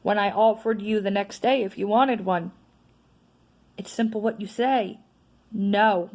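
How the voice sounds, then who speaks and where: A woman vlogger with a naturally loud voice, venting in a casual, frustrated rant that is full of tag questions. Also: medium pitch, fairly even intonation, unhurried. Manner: frustrated, ranting, frequent "right?" tags.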